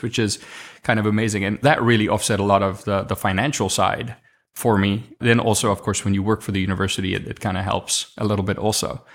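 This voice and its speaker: A man's voice: fairly low pitch, a bit bright. South African man telling his story. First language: Afrikaans. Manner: reflective, with frequent restarts and fillers. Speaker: podcast guest. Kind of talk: conversational interview storytelling.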